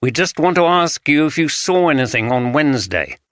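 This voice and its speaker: A man's voice: a little high, big pitch swings, moderate pace, fairly bright tone, dry recording, pitched above the performer's own voice. A man voicing a friendly detective sergeant coaxing a wary witness. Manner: coaxing, reassuring.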